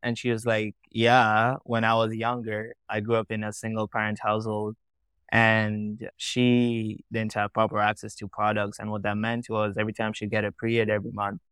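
A man's voice: lowish voice, monotone, moderate pace, a bit bright, clean tone, no echo. A young man from Tanzania, a founder talking casually as a podcast guest, often saying "like" and "you know". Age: young adult. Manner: casual, lots of likes and you-knows.

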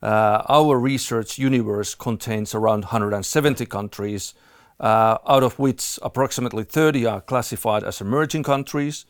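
A middle-aged Finnish-accented man at a medium pitch, talking as a podcast guest. He hesitates often and fills gaps with "uh". The sound is dry and close. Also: moderate pace, smooth, no echo. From Finland. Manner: hesitant, many "uh" fillers. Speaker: guest, fund manager. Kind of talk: podcast interview.

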